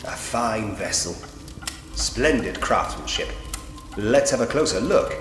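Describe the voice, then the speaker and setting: A man's voice: on the low side, animated, slow. A man voicing a polite, drily whimsical Victorian police inspector who muses to himself in an adventure game. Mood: drily amused. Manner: musing to himself, genteel, drily humorous. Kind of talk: point-and-click adventure game voice acting. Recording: reverberant room with long echo. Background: game music.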